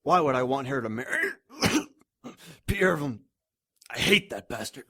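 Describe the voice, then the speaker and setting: An actor playing a haughty, scheming, dying old man in an audio drama, formal and indignant, often clearing his throat. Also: medium pitch, big pitch swings, unhurried, a bit bright, heavily acted. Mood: indignant, angry. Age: older. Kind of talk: audio drama villain acting.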